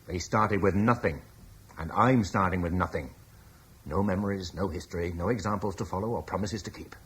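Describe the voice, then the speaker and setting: An actor playing a blunt, forceful military commander from Cornwall who scorns Rome, in a classic radio drama. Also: male voice, low voice, brisk, a little hiss or hum. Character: blunt British war leader, scornful of Rome.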